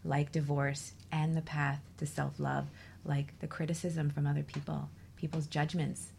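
Low-pitched woman with a creaky voice. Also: little pitch movement, normal speed, a little mellow, slight background noise. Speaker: talk show host. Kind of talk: reflective discussion on a talk show.

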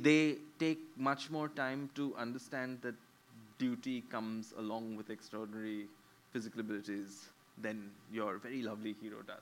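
A man talks to a live audience from a panel at a public event. He explains in fluent, animated stretches, with plenty of "um" and "so" along the way. His voice sits in a middle register, with faint background noise in the room. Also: mid-range voice. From India. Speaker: novelist on a panel.

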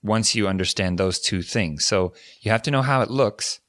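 A man with a very low voice talks through ideas in a relaxed, thoughtful way, often circling back to rephrase himself. The sound is close and dry, with no room around it. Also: normal speed, no room sound. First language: English. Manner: thoughtful, explanatory, with frequent restarts. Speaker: podcast co-host. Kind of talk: casual educational chat.

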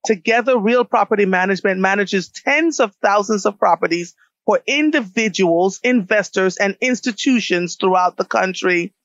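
A woman's voice: medium pitch, normal speed, no echo, muffled recording. A woman from Jamaica hosting a radio show, interviewing a guest in a conversational way.

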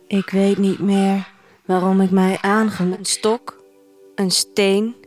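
An actress playing a troubled woman who tells a bleak future tale in an introspective, dramatic monologue for an audio drama. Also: mid-range voice. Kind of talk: literary first-person audio drama monologue.

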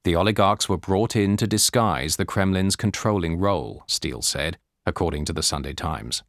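A man reading a scripted nonfiction audiobook as narrator, with measured, deliberate phrasing. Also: low voice, a bit bright, no echo, clean studio sound.

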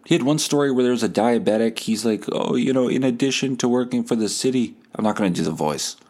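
A man hosting a podcast, telling stories in a casual, comedic, animated way with some swearing. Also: mid-range voice, quick pace. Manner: animated, acts out quoted dialogue; profane. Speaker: podcast host.

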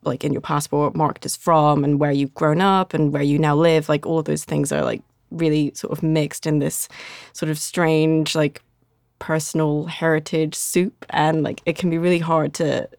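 Chatty podcast host with vocal fry. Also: medium pitch, flat delivery, normal speed, dry recording, clean studio sound. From London, partly raised in Singapore. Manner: chatty, heavy use of "like". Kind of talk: casual podcast chat.

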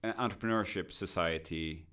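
A middle-aged man from Sweden, a native Swedish speaker, chatting on a podcast as a guest lecturer in a measured, dry, witty way. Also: low-pitched, sharp tone, clean tone. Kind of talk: casual podcast conversation.

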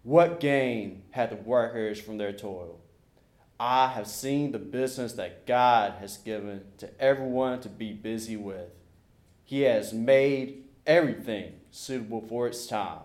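A man reading a passage of scripture aloud in a church service, slowly and deliberately. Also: medium pitch, darkish tone. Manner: slow, deliberate recitation. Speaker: scripture reader. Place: church sanctuary. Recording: echoey church.

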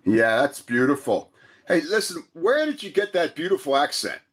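Calm host, man, slightly high voice, measured delivery, leads breathing exercises. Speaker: host, coach. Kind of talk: guided breathing and interview hosting.

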